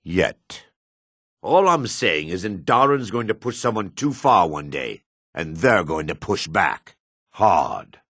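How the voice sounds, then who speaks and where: Fantasy game dark elf, deep-voiced, shifty and greedy merchant, raspy, brusque delivery with lively swings. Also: male voice, takes it slowly, no room sound, clean studio sound, full character voice. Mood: menacing. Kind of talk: fantasy video game voice acting.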